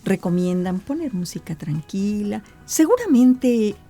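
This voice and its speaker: Mexican woman interviewer, mellow low voice, polite formal questions, faint music behind. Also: very animated, a little slow. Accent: Mexican Spanish. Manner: courteous, formal questions to the guest. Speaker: interview host.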